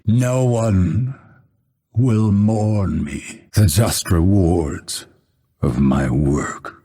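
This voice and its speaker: A brooding assassin dude for a game, slow deliberate talk, husky, grim and fatalistic. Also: male voice, low voice, a little mellow, heavily acted. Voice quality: husky, gravelly. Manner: measured, solemn, dramatic delivery. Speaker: video game voice actor. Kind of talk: scripted game character voice lines.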